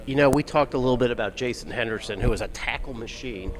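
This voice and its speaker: A man's voice: medium pitch, quick pace, rough recording. A man co-hosting a podcast, asking teasing questions at a media day event. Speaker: podcast co-host. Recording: crowded event noise behind.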